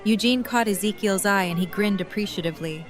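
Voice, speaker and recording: A woman's voice: mid-range voice, brisk. Woman narrating an audio drama, faint music. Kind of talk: scripted story narration.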